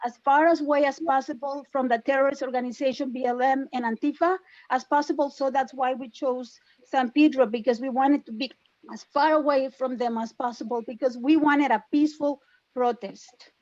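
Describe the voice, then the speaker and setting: High-voiced woman with a Salvadoran accent. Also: fairly even intonation, normal speed, a little mellow, highs cut off. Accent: Salvadoran Spanish. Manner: insistent and defensive, repeats points. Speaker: public commenter. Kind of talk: public comment at an online town hall.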